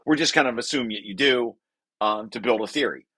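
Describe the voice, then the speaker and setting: A man presenting a technical paper aloud, reading and adding explanations in a halting way with self-corrections. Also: mid-range voice, sharp tone, slightly rough, no echo. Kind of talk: technical table read with explanatory asides.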